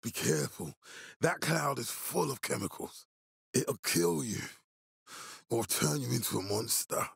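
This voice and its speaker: An actor playing a menacing middle-aged military leader in a video game, authoritative, curt and measured. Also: male voice, mid-range voice, animated, creaky, husky, no echo, studio quality, heavily acted. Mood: grave, ominous. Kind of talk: dramatic video game voice acting.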